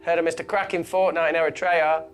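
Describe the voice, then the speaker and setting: An actor playing a wry, blunt scientist under pressure in a film thriller, with a dry, wisecracking manner. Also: male voice, on the high side, little pitch movement, fast talker, faint music behind, close to an everyday voice. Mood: bitter.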